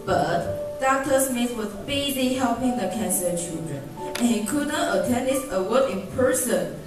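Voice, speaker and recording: A woman playing a measured, consoling award ceremony announcer in an amateur radio drama. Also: fairly high pitch, expressive. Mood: formal. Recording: very echoey, distant, music behind.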